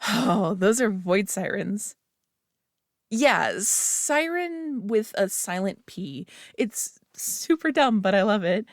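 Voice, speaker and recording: A gushing young woman, a nerdy friend lost in her favorite hobby, as a woman acts her out, chattering on with "like" and soft giggles. Her voice sits at an ordinary middle pitch. It is recorded close and bone dry, with no room sound at all. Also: big pitch swings, takes it slowly, dry recording. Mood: rambling eagerly. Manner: rambling, eager, full of "like" and giggles. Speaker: voice actor in an audio roleplay. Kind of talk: scripted roleplay monologue to the listener.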